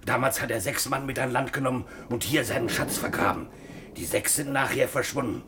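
An actor playing a wild, lonely marooned ex-pirate sailor in a radio play, halting and eager. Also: male voice, medium pitch, noisy background, close to an everyday voice. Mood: earnest, grave. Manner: halting, wistful, eager, with sudden urgency. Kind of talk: German-language radio play acting.

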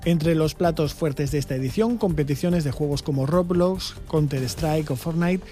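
A male news reporter with a slightly raised but mellow, dark-toned voice, delivering a smooth, scripted report. Soft music plays faintly behind him. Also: fairly high pitch, normal speed.

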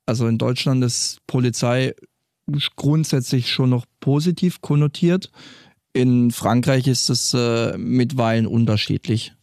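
A man with a gravelly, creaky voice talks through how police patrols work, as a former group leader. He explains steadily and stops briefly now and then to find the next word. The studio sound is dry and close. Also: mid-range voice, fairly even intonation, unhurried, darkish tone, dry recording. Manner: explanatory, with some hesitations.

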